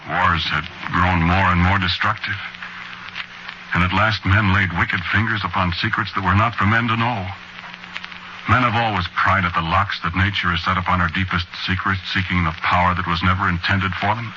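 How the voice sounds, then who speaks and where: An actor playing a grave, sorrowful man delivering a solemn, deliberate monologue in a 1940s radio drama. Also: deep voice, steady tone, sharp tone. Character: grave, sorrowful man mourning his destroyed world. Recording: noisy, muffled 1940s radio broadcast.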